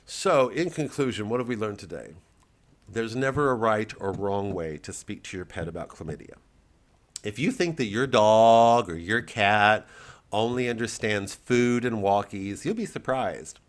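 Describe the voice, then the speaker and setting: A low-voiced man talks like a comedian working off the cuff. He rambles and cuts himself short as he plugs his show. His delivery is sardonic and casually profane. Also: unhurried. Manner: rambling, self-interrupting, acting out bits. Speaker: comedian promoting a live show. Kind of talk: off-the-cuff comic monologue.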